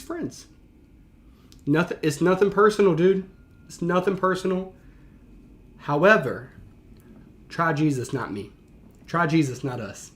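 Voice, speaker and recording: A man with an American accent reacting out loud as a teacher, in casual slang, thinking as he goes and paraphrasing in animated fashion. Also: fairly high pitch. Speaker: teacher reacting to a historical reading.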